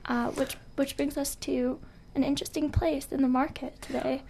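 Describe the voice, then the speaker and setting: A woman co-hosting a casual radio show with deadpan, mock-serious humor. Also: high-pitched, normal speed, gravelly.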